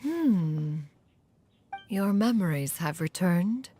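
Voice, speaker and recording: A woman's voice: on the low side, lively intonation, slow pace, mellow, faint music behind. A woman playing an aloof, wise immortal in a game, speaking in a formal, stately, archaic manner. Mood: grave, sympathetic. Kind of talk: dramatic game voice acting.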